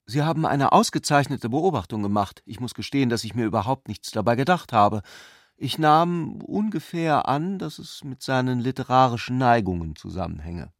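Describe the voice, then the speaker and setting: A male actor playing an ironic, courteous intellectual cousin in a German literary radio drama, speaking eloquently and deliberately. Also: medium pitch, expressive, no echo, clean studio sound, natural voice. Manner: eloquent, deliberate, earnestly polite.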